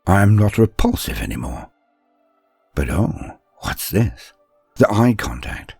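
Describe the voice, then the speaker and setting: A man playing an earnest, deferential young male apprentice, telling his story in a measured, confiding, introspective way. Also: fairly low pitch, big pitch swings, creaky, darkish tone, slightly rough, no room sound, faint music behind, heavily acted. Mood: confiding, wondering. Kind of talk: first-person dramatic storytelling.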